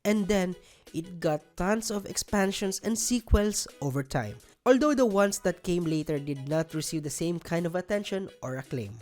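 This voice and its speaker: A woman's voice: on the low side, animated, normal speed, a little mellow, soft background music. A woman hosting a scripted countdown video, narrating and giving commentary. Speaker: host of a video list show.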